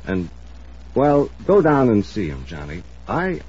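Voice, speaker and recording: Low, husky, evasive insurance man. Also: huge pitch swings, unhurried, darkish tone, full character voice. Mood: earnest, evasive. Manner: earnest, hedging, persuasive. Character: evasive, cajoling male insurance company man. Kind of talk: 1950s radio drama acting. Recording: muffled old radio broadcast recording.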